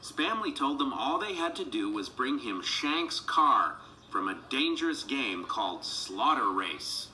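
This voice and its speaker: A man narrating a children's read-along storybook in an animated, dramatic storytelling manner. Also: medium pitch, measured pace, a little hiss or hum, poor audio. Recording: music behind the voice.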